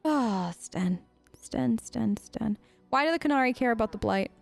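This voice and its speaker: A woman's voice: fairly high pitch, expressive, unhurried, vocal fry, no room sound, faint music behind. A woman hosting a role-play let's play, chatting casually in character in an earnest, playful way. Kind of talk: casual in-character game commentary.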